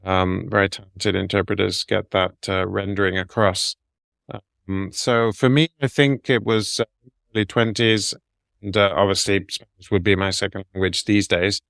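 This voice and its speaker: A middle-aged man answering interview questions in a measured, explanatory way, laying out his advice point by point. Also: low voice, little pitch movement, vocal fry, fairly bright tone, no echo, studio quality. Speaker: guest, medical translator.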